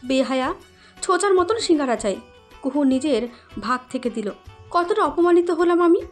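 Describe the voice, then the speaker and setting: A woman's voice: high voice, expressive, normal speed, soft background music, voice pushed up. A woman voicing a spiteful, irritable, jealous young woman with a snappish, scolding manner in an audio story. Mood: angry, scolding.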